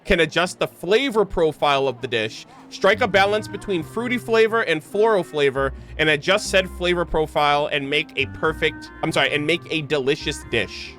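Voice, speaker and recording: A man doing casual, slangy live game commentary, excitable and swearing freely, sometimes reading game text aloud. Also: fairly high pitch, normal speed, hardly any pauses, faint music behind. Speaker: game streamer.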